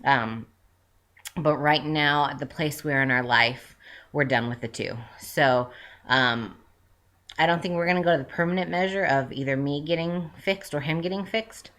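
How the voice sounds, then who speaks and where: A woman in her late twenties with a notably low, deep-set voice. She chats casually straight to camera, rambling from one point to the next, filling pauses with "um" and correcting herself mid-sentence. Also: a bit bright. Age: 20s. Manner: rambling, with frequent "um" fillers and self-corrections. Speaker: vlogger. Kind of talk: casual chatty update.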